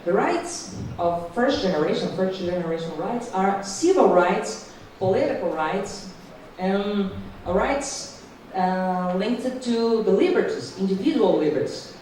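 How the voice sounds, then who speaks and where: Brazilian woman lecturing slowly in echoey hall. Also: medium pitch, mellow, a little hiss or hum. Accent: Brazilian Portuguese. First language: Portuguese. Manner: careful, halting, many restarts. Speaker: panel speaker, law professor. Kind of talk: academic panel talk. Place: conference hall. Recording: distant mic in echoey hall.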